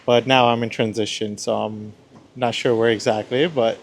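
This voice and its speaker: A man answers as a guest in a casual panel chat. His pitch is average and his phrasing tentative, with faint ambient noise in the recording. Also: little pitch movement, moderate pace.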